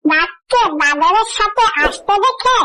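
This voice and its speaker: A woman's voice: very high voice, expressive, unhurried, sharp tone, a little hiss or hum. A woman reading a scripted fairy tale for children as the storyteller.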